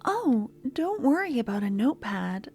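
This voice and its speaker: A woman playing an eager, clingy young student with a secret obsession in an ASMR roleplay, speaking softly and often slipping into breathy whispers. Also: fairly high pitch, very animated, normal speed, a little hiss or hum. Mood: gentle, instructive. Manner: soft-spoken, often dropping to breathy whispers. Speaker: lab guide teaching embalming.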